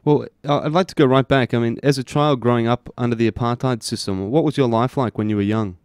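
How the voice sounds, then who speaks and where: A man's voice: mid-range voice, fairly even intonation, quick pace, darkish tone, smooth, no room sound. A man, interviewing a guest by phone for radio. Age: early 20s.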